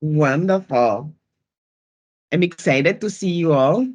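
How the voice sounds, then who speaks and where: A woman's voice: sits low, animated, takes it slowly, a little mellow, highs cut off. A woman with an Austrian German accent moderating an online event on a video call, upbeat and welcoming as she greets the audience.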